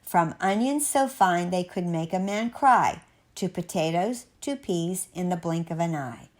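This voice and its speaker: A woman reading a rhyming children's picture book aloud as a storyteller, expressively, with measured, dramatic, sing-song emphasis. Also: lowish voice, lively intonation, darkish tone.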